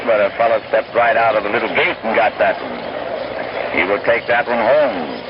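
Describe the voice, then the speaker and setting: Male American baseball radio announcer, 1940s style, folksy play-by-play, muffled audio with clear background noise. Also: on the low side, lively intonation, normal speed. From United States. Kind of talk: old-time radio sports play-by-play commentary. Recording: noisy, muffled 1930s-1940s radio recording.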